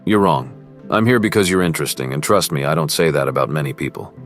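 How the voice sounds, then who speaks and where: A man playing a cocky rich high school boy who is quietly smitten, narrating and speaking in a teen romance drama. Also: quick pace, soft background music. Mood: teasing, confident. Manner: smug, cool, guarded. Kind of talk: first-person narration and dialogue in a teen romance.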